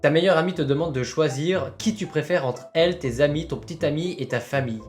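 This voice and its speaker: A man's voice: a little high, fairly quick, soft background music. A young man casually reading out online quizzes and commenting on them in a playful, self-mocking way. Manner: playful, self-mocking, animated with mock-dramatic asides. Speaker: online video host.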